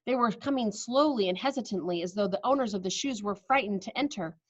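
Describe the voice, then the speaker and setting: A woman reading a children's story aloud with expressive narration, on a slightly dull recording. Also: medium pitch, brisk, no echo.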